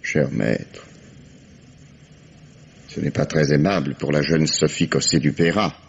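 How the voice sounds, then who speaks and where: An actor playing a calm, ironic police commissioner interrogating a suspect in a 1950s radio drama, measured and dry. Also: male voice, very deep voice, vocal fry, slight background noise, full character voice. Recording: muffled old radio broadcast.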